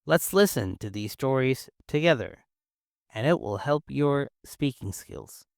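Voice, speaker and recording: A young man from China with a Chinese accent, whose first language is Mandarin, teaching English in an encouraging, clear and simple way. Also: medium pitch, animated, a little slow, no echo, studio quality. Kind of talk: scripted English-learning lesson.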